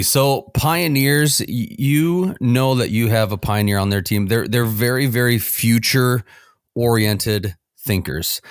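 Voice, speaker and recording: A man's voice: mid-range voice, no echo, clean studio sound. A man explaining things conversationally as an expert guest on a panel.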